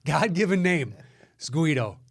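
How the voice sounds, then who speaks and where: A man's voice: a little high, very animated, measured pace, gravelly, husky. A man co-hosting a podcast, chatting casually and profanely about movies with a sardonic, riffing manner. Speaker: podcast co-host.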